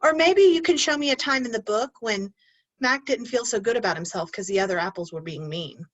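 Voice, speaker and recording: A woman's voice: fairly high pitch, a little fast. A woman teacher reading a picture book aloud to children, stopping often to ask them questions. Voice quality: animated storyteller, asks children questions. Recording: dull lo-fi recording.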